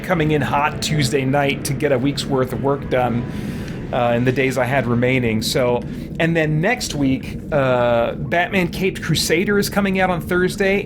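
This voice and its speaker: A man's voice: a little high, background noise. A middle-aged man livestreaming a game, chatting casually and rambling as he thinks aloud. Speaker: livestream host and gamer. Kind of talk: casual chat while playing a game.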